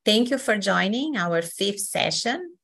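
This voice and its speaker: A woman from Brazil with a Brazilian accent, hosting an online session as facilitator in a friendly, unhurried way with many fillers. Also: medium pitch, big pitch swings, gravelly, fairly bright tone.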